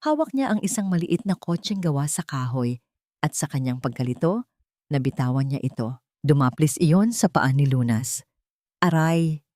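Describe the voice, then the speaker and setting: Babaeng tagapagsalaysay ng drama, malalim ang boses, tuyong rekording sa studio. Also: female voice, extremely low pitch, normal speed, no echo. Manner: steady, dramatic storytelling. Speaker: narrator. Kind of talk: scripted audiobook drama narration.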